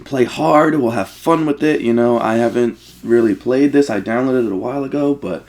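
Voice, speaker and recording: A man giving casual, rambling, profanity-laced gaming commentary with excitable fan talk. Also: mid-range voice, normal speed. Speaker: let's play host.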